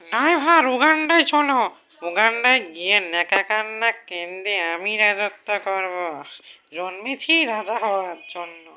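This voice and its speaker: A woman's voice: medium pitch, normal speed, pushed and bright, lightly acted. A woman voicing a scheming, melodramatic, self-admiring female political leader in a satirical cartoon. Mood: smug. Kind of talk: satirical cartoon dub acting.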